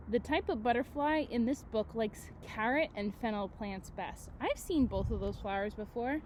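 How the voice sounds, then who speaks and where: A woman's voice: a little high, noisy background. A woman teacher reading a picture book aloud to children, expressively, with chatty asides and questions to her young listeners.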